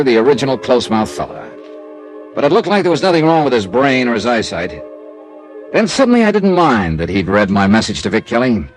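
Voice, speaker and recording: A man's voice: medium pitch, lightly acted, poor audio. An actor playing a wry, streetwise American male investigator narrating his case in a clipped, hard-boiled radio drama style. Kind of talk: classic radio detective drama, first-person narration.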